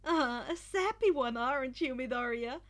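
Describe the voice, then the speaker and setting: A woman's voice: high-pitched, expressive, moderate pace, a bit bright. A woman voicing a cocky teenage boy in an anime fan story, smug and lightheartedly teasing.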